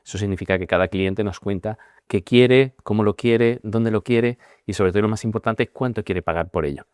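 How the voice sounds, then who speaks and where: A man in his forties from Spain, a guest telling his career story in a measured, reflective way with frequent pauses and fillers. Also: on the low side, darkish tone, clear, resonant tone, no echo, clean studio sound. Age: 40s. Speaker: guest, managing partner. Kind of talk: conversational interview.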